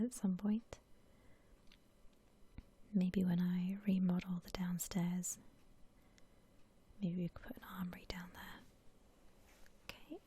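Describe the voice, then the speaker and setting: A woman whispering softly in a gentle, unhurried, musing way, as in ASMR commentary on a game she is playing. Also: mid-range voice. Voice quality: soft breathy whisper. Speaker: gaming ASMR host.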